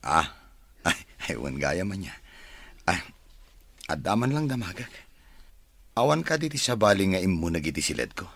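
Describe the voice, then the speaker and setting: An actor playing a suspicious, wronged husband in an Ilocano radio drama, with an Ilocano accent and a husky voice. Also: male voice, low-pitched, big pitch swings, normal speed, pauses often, a bit bright, no echo, exaggerated voice. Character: suspicious, wronged husband confiding about his wife.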